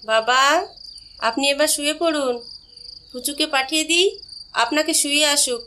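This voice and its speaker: A woman's voice: high-pitched, measured pace, sharp tone, slight background noise. A woman playing a stern, practical mother and daughter-in-law in an audio story, speaking firmly. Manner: firm, scolding. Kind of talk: audio story character acting.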